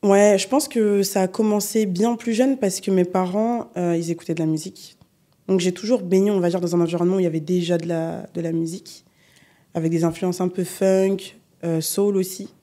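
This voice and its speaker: A young adult woman singer from the Paris region, chatting in an unhurried way as a podcast guest, with frequent fillers. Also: fairly low pitch. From Paris region, France. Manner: relaxed, unhurried, many fillers. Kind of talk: casual interview chat.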